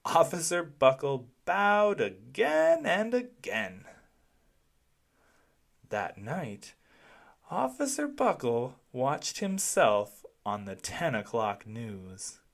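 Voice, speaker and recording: A narrator reads a children's storybook aloud with great expression. The pace is very slow and deliberate. The pitch rises and falls dramatically across phrases. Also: huge pitch swings, gravelly.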